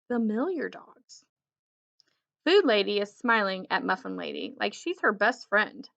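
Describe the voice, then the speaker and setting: A woman reading a children's story aloud in an expressive, deliberate storytelling manner. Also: fairly high pitch, muffled recording. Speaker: children's book reader.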